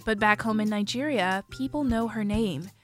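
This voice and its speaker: A woman reporter reading a scripted radio news feature in a measured, clear way. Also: mid-range voice, faint music behind.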